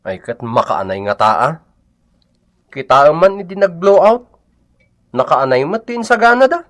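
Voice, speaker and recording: A man's voice: on the high side, big pitch swings, unhurried, a bit bright. Actor as insistent, stubborn young man. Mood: matter-of-fact. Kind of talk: comedic radio drama acting.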